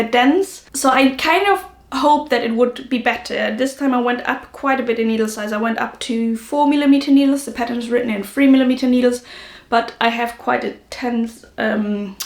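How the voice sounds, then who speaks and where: A woman's voice: on the high side, gravelly, echoey room. A woman hosting a podcast in casual, rambling solo chat, correcting herself as she goes, her voice not fully recovered from a lingering cold. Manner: rambling, self-correcting, informal. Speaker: knitting podcast host.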